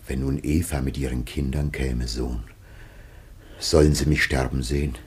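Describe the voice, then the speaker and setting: A man's voice: very deep voice, steady tone, moderate pace, husky, full character voice. An actor playing an ancient dying father, solemn, anguished and tender, in a declamatory classic German radio play. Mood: sorrowful, tender.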